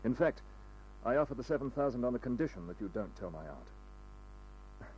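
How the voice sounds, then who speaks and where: A man's voice: medium pitch, big pitch swings, moderate pace, darkish tone, lo-fi sound, close to an everyday voice. An actor playing a courteous, aristocratic young man in an old radio drama, speaking formally and earnestly. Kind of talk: 1940s-50s radio drama acting. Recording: noisy old radio recording.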